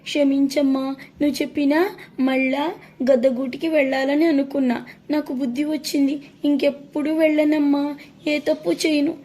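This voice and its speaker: A woman's voice: high-pitched, flat delivery, normal speed, mellow, voice pushed up, close to an everyday voice. A woman voicing a naive, earnest little girl chick in a children's story, pleading in a childlike way. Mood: remorseful.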